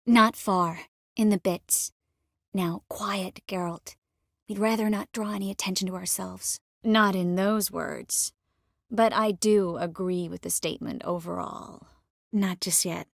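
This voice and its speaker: A woman playing a poised, wry, warm-hearted sorceress in a video game, composed and lightly teasing. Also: fairly low pitch, fairly even intonation, measured pace, gravelly, no echo, clean studio sound. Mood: composed, serious. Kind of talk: scripted game character dialogue.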